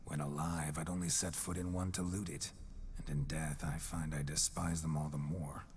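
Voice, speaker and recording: A man's voice: extremely low pitch, little pitch movement, moderate pace, a little hiss or hum. An actor playing a roguish nobleman's ghost speaking through a monster hunter's body in a video game, boastful and courtly. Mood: charming, boastful. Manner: flamboyant, boastful, courtly flowery speech. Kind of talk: video game character acting.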